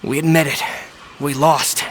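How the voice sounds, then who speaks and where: A man's voice: fairly high pitch, level tone, measured pace, background noise, close to an everyday voice. An actor playing a defiant, vengeful male gang thug in a video game. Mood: defeated, grudging. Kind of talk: English video game voice acting.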